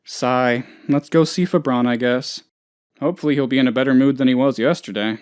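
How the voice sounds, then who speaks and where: An actor playing a naive, anxious, scatterbrained office boss who rambles and gets sidetracked, in a video game. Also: male voice, mid-range voice, steady tone, fairly quick, a little mellow, smooth, natural voice. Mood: eager, scatterbrained. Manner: rambling, absent-minded, easily sidetracked. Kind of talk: video game character voice lines.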